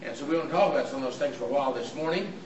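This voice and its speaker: A visiting preacher, his voice hoarse and raspy from throat trouble, delivering an earnest sermon in a halting way with frequent "uh" fillers. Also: mid-range voice, lo-fi sound. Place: church auditorium. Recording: echoey hall from far off with room noise.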